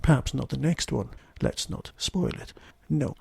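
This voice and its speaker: A man hosting a podcast alone, talking to listeners in a reviewing style, his voice hoarse from a throat infection. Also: mid-range voice, expressive, unhurried, a little mellow, no echo. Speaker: podcast host. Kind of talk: solo review talk to listeners.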